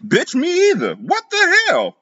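A man voicing a loud, outspoken woman friend who curses freely. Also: medium pitch, lively intonation, normal speed, voice pushed up. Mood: brassy. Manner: brassy, cursing. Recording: slightly muffled.

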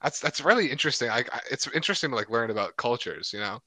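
A young male co-host with a bright, forward voice that jumps around in pitch as he teases his guest. His talk is loose and joking, full of "like" and false starts. He is recorded close and dry. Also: animated, racing, no echo. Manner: joking, teasing, peppered with "like". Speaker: podcast co-host. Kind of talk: casual comedic interview.

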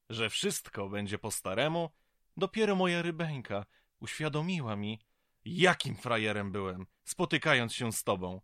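A patronising ex-boyfriend who defends himself sharply. His delivery is animated and forceful, recorded dry, in audio drama style. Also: medium pitch, no room sound. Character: self-justifying, condescending ex-boyfriend who moved on.

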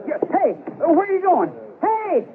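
Stubborn, complaining American soldier, high and swooping voice, rustic Western radio drama. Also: male voice, big pitch swings, measured pace, full character voice. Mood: grumbling, defiant. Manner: folksy rustic frontier slang, protesting. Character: stubborn, grumbling male soldier in a frontier Western. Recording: tinny radio recording with loud crowd noise.

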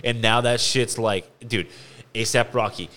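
A man's voice: mid-range voice, fairly even intonation, a little slow, bright, forceful tone. Loose, enthusiastic young man bantering. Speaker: podcast co-host. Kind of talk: casual, slangy banter.

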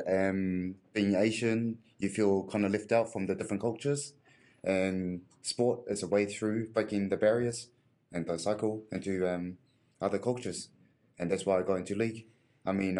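A man from Hong Kong with a low voice tells a short story about how he got into the sport. He speaks plainly and calmly, in a relaxed manner. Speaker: guest player. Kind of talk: casual chat.

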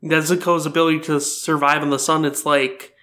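Bright, higher-pitched man reasoning things out. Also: level tone, brisk. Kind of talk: casual fan discussion.

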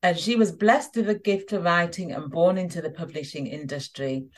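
A woman hosting an interview in a conversational style, speaking in measured phrases with pauses mid-sentence. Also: fairly low pitch. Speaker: interview host. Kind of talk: conversational hosting, introducing a guest.

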